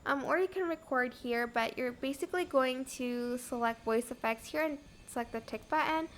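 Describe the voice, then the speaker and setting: High-pitched woman narrating a casual tutorial. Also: normal speed, fairly bright tone, a little hiss or hum. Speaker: tutorial host.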